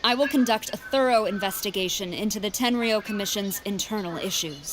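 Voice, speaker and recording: Stern woman officer for a game, acted by a woman: medium pitch, dutiful, clipped, commanding. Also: fast, noisy background, natural voice. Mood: formal, respectful. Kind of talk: video game voice acting.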